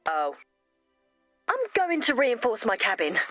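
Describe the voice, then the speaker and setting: An actress playing a sweet, earnest, naive heroine in a video game, savoring each word. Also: female voice, fairly high pitch, wildly swooping pitch, measured pace, fairly bright tone, no echo, soft background music, exaggerated voice. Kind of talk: video game character voice lines.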